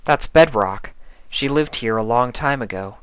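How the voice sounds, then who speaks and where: Storybook pony mare, read by a man: bright, polite, eager explainer, raised pitch, dry recording. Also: a little slow, clear, resonant tone, no echo, lightly acted. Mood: cheerful, informative.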